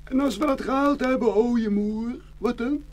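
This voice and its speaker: Woman as a high, childlike little stork chick. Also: moderate pace, a little mellow, close to an everyday voice. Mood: curious. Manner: childlike, plaintive, questioning. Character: small young stork chick, anxious, eager, whiny questioner. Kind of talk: children's fairy-tale radio play acting.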